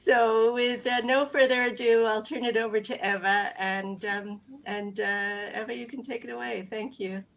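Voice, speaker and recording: A woman hosting a video call, introducing the speaker with halting pauses. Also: a little high, steady tone, vocal fry. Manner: hesitant, halting. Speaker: host. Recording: dull video call audio.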